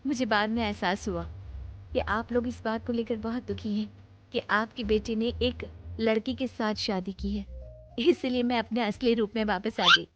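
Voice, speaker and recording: A woman's voice: fairly high pitch, steady tone, normal speed, faint music behind, close to an everyday voice. A woman voicing a sweet, sincere young daughter-in-law in a cartoon moral story. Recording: dull lo-fi sound.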